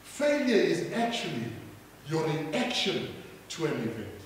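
High-voiced South African pastor preaching. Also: male voice, wildly swooping pitch, unhurried, a little hiss or hum. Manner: rising to loud preaching calls, crowd prompts. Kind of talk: sermon. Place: church stage. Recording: echoey hall from far off.

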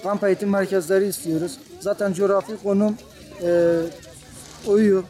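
A man's voice: high voice, level tone, moderate pace, dark tone. A young man, a local skier, earnestly asking for support, hesitating with fillers while interviewed outdoors in freezing cold. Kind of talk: street interview. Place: outdoors at a ski race in deep cold. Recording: outdoor crowd chatter behind.

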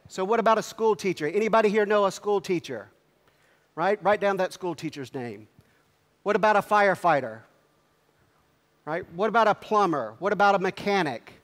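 Middle-aged male keynote speaker, higher-pitched voice, punchy questions to the crowd, big hall echo. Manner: energetic, quizzes the audience with quick questions. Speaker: company executive speaking at a convention. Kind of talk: motivational stage speech to a crowd. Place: large convention hall stage. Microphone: headset mic. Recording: long hall reverb.

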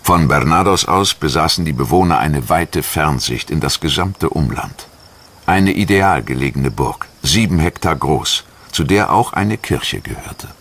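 A man reading scripted documentary narration in German in a measured, factual manner. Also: very deep voice, lively intonation, fairly bright tone. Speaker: documentary narrator and voice-over translator.

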